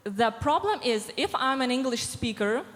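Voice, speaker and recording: A woman, answering panel questions through a handheld mic, measured and pausing with many fillers. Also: on the high side, expressive, a bit bright. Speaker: panelist from a diversity organization. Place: large event hall stage. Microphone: handheld microphone. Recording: reverberant hall sound.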